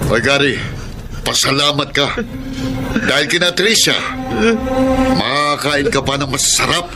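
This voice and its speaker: A man's voice: mid-range voice, unhurried, natural voice. A man playing a cruel, menacing uncle in a Filipino radio drama, taunting and repeating his threats. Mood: gruff, threatening. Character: cruel bullying uncle, menacing, mocking brute. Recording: loud dramatic music behind.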